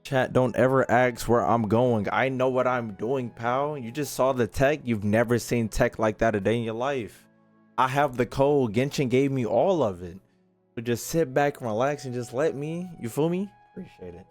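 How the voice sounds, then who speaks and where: A male game streamer chats casually to his viewers. He is slangy, cocky and playful, speaking in a mid-pitched voice over game music. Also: moderate pace. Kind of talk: casual livestream chat with slangy reactions.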